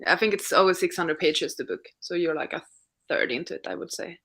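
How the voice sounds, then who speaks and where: Bright-voiced woman chatting casually. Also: fairly low pitch, brisk. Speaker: live stream co-host. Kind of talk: casual live chat.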